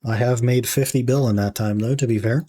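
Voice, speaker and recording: A young man with a raspy voice, casually chatting to his stream audience while he plays. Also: mid-range voice, a little fast. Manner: relaxed, musing aloud while playing, talking to chat. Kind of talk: casual gaming livestream chat.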